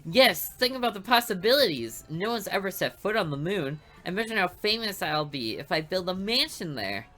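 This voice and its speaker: A woman's voice: fairly low pitch, big pitch swings, normal speed, few pauses, fairly bright tone, soft background music. A woman voicing a cheerful, dim-witted teenage boy who boasts eagerly. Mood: excited.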